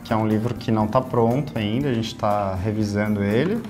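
A man in his thirties with a São Paulo Brazilian accent, talking casually in an interview with lively gestures and frequent tag questions. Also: fairly low pitch, a little fast, soft background music. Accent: Brazilian Portuguese, São Paulo. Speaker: YouTube creator. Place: small office studio.

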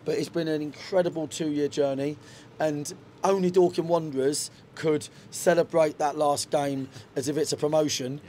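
A man's voice: fairly high pitch, fairly even intonation, normal speed, dark tone, slight background noise. A middle-aged man, a football manager, talking frankly in a post-match interview in a stadium dugout, with lots of fillers and restarts. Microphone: handheld reporter mic.

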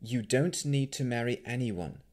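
Male reader playing a confident female adventurer, lifted pitch, reassuring, direct, fantasy storybook. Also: wildly swooping pitch, unhurried, darkish tone, exaggerated voice. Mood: gently confident.

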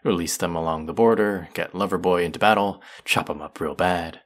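A man's voice: sits low, fairly quick, natural voice. A man voicing a smug, taunting young fantasy villain who menaces his captive in a theatrical roleplay audio monologue. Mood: scheming.

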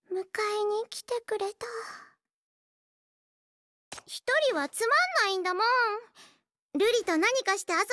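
A very high, bright girlish voice for an anime game character. She is a young assassin and gambler, cute and cocky, with a playful, teasing way of talking. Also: slight background noise. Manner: cute, teasing, refers to herself by name. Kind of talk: Japanese anime-style game voice acting.

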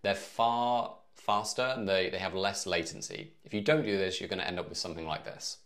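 A man in his thirties presenting a casual step-by-step software tutorial from a home office. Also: low-pitched, lively intonation, a little fast, vocal fry. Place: small home office, indoors.

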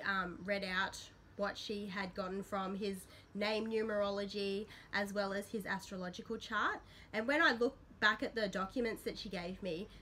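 A woman in her thirties talks straight to a close camera mic in a small bedroom. Her voice is bright but a touch croaky from being a little sick. She rambles in a chatty way, full of ums and self-deprecating asides, over a faint hiss. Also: mid-range voice. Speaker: substitute host of a witchcraft vlog. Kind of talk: casual to-camera vlog talk. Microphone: built-in camera mic at close range.

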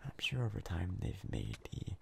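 A man giving hushed, casual, halting ASMR gameplay commentary in a breathy, mostly whispered voice as a video host. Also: low voice, wildly swooping pitch, a little hiss or hum.

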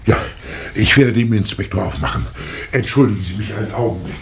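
An actor playing a formal, courteous older professor in a 1960s German radio play. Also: male voice, on the low side, a little fast, natural voice. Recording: old vinyl record with surface noise.

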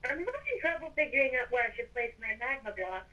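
Female gamer, high and bright, casual chat, slightly distant noisy mic. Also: lively intonation, fast, muffled recording. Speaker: player in a Minecraft roleplay. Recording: distant mic with clicks and noise.

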